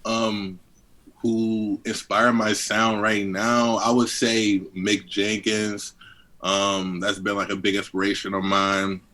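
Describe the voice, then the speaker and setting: Deep-voiced young man from D.C. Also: little pitch movement, takes it slowly, sharp tone, poor audio. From Southeast Washington, D.C. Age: 20s. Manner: laid-back, heavy on fillers, chuckles often. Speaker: guest rapper. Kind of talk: casual interview chat.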